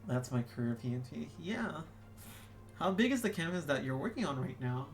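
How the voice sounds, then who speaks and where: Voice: medium pitch, sing-song, normal speed, faint music behind. A young adult streamer chatting casually while drawing, rambling with lots of "like" and self-corrections. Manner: rambling, full of "like" and restarts. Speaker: streamer reading chat. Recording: roomy room echo.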